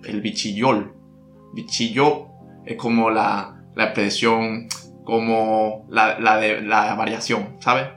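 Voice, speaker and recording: Man doing a cocky reggaeton guy, touchy, bright voice, roomy echo, faint music. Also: on the low side, level tone, takes it slowly. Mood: defensive. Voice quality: slangy, keeps saying brother, prickly. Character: brash male Puerto Rican reggaeton singer, touchy. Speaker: guest artist.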